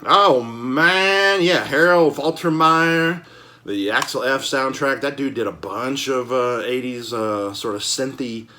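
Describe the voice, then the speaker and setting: A man chatting casually and enthusiastically as host of a collecting show, with an excitable, slangy, folksy manner full of exclamations. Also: medium pitch, takes it slowly, slight background noise. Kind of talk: casual enthusiastic vlog chat.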